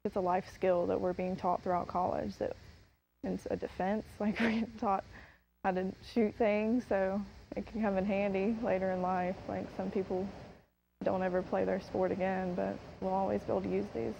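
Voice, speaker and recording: A woman's voice: medium pitch, little pitch movement, normal speed, vocal fry, dark tone, highs cut off, a little hiss or hum. A woman athlete speaking casually in an interview, leaning on the word "like". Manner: casual, uses filler "like". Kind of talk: interview soundbite.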